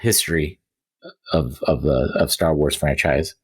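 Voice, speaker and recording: A man chatting casually and giving his opinions as a podcast panelist, with somewhat halting phrasing. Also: rumbling low, steady tone, husky.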